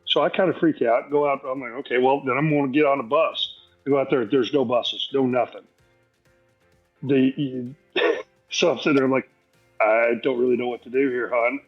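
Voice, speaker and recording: A man's voice: medium pitch, steady tone, moderate pace. Man telling anecdotes, dull lo-fi sound. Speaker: podcast guest. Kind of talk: casual anecdotal storytelling. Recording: dull lo-fi sound, faint music.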